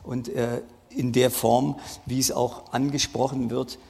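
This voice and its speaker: A man moderating a public panel discussion, phrasing his questions in a halting, searching way. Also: mid-range voice, little pitch movement, creaky, darkish tone. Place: large hall at a public event. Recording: reverberant hall.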